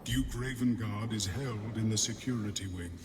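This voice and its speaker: A man voicing a cold, formal telepathic creature in a video game, urgent yet clipped and measured. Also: lowish voice, natural voice. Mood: cold urgency. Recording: long reverb, noisy ambience behind.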